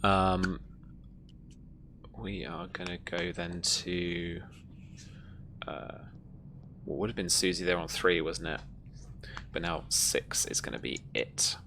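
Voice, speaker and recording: A woman's voice: extremely low pitch, slow pace, vocal fry, pauses often, fairly bright tone, slight background noise. A woman running a tabletop roleplaying game as game master, informal and encouraging toward her players.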